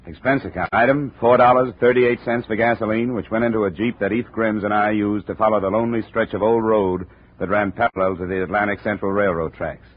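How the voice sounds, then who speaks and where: An actor playing a brisk, dry American insurance investigator who also narrates a 1950s radio drama. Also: male voice, lowish voice, steady tone, natural voice. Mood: dry, narrating. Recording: narrow, muffled old radio recording.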